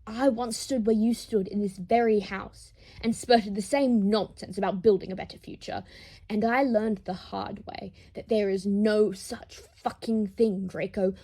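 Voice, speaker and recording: A woman's voice: mid-range voice, measured pace, warm tone, slightly rough. A woman voicing a proud, bitter older aristocratic father in an audiobook drama, speaking in a haughty, formal, reproachful way. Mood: condescending, bitter.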